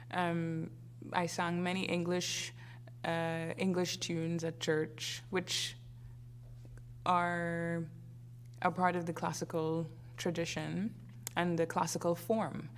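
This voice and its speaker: A woman from Trinidad and Tobago, an opera singer answering interview questions thoughtfully, with many pauses and fillers. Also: lowish voice, flat delivery, a little hiss or hum.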